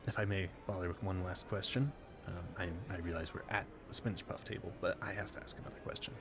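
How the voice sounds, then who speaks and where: Polite, awkward gentleman ball guest for audio roleplay, played by a man; deepish creaky voice, effusive, stammering, rambling. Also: fairly even intonation, fast, music in the background. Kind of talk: audio roleplay addressed to the listener.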